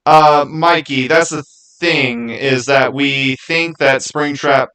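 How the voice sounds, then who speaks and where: Bright-voiced man giving casual opinions. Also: medium pitch, steady tone, takes it slowly. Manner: opinionated, emphatic, repeats phrases to make points. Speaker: video host giving his opinions. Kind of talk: casual unscripted gaming commentary.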